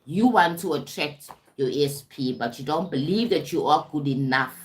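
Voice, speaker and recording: A woman's voice: sits low, normal speed. A woman hosting a casual self-development livestream, chatty, often stumbling over words and checking in with viewers. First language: English. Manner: warm-toned chatty, calls viewers "sweetie pie", frequent "right?" and restarts. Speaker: livestream host.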